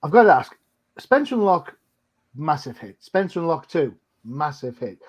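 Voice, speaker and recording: A man's voice: fairly high pitch, big pitch swings, moderate pace, slightly rough. A man co-hosting a podcast, putting casual questions in an emphatic, punchy way. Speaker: podcast co-host, interviewer. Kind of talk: casual interview chat.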